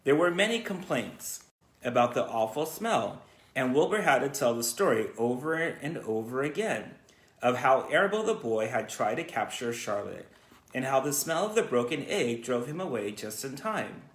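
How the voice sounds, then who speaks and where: A man reads a children's storybook aloud in a roomy, echoing space. His pitch moves in a lively way, and his delivery halts now and then as he repeats words. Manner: halting, stumbles and repeats words. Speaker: school educator reading to students. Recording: roomy, echoey sound.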